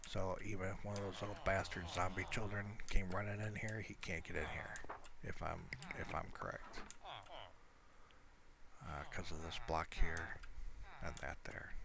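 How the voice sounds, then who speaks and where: A man's voice: low voice, fairly even intonation, moderate pace, creaky, a crowd in the background. A man giving casual, unscripted commentary over his gameplay, rambling along as he thinks aloud. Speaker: gameplay video narrator.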